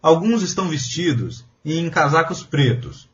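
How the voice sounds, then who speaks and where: A man's voice: fairly high pitch, very animated, unhurried, fairly bright tone, highs cut off, slight background noise. A man reading a translated spiritual lecture aloud in an earnest, emphatic preaching manner. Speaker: voice-over reader of a translated lecture.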